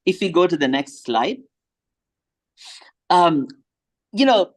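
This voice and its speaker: A man's voice: sits high, sing-song, slow. A man whose first language is Tamil, giving a thoughtful slide presentation and rising in emphasis on key points.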